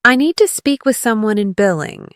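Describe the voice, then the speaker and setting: A woman leading a scripted English lesson as a teacher, encouraging the learner and clearly enunciating model phrases for repetition. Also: medium pitch, very animated, moderate pace, no echo, clean studio sound. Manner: encouraging coaching.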